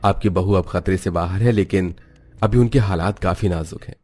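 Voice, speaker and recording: Cartoon role male voice actor: serious hospital doctor, formal and measured, dark low tone. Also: soft background music, lightly acted. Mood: grave.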